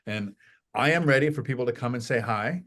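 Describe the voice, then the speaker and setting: A man's voice: medium pitch, fairly quick, a bit bright. A retired man chatting casually as a podcast guest, rambling and restarting his sentences often. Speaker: podcast guest, retired real estate investor.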